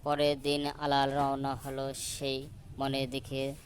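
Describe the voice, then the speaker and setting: Slow, even-toned man telling stories. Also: medium pitch. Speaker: storyteller. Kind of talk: scripted children's story narration. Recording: noticeable background noise.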